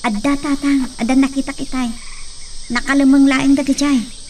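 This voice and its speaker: An actress playing a dutiful, worried young farm daughter in an Ilocano radio drama. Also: female voice, sits high, level tone, moderate pace, a little mellow, natural voice. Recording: distant mic, faint cricket noise.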